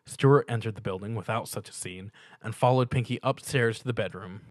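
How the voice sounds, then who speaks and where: A man's voice: on the low side, brisk, dry recording. A man reading a piece of fan fiction aloud as dramatic story narration.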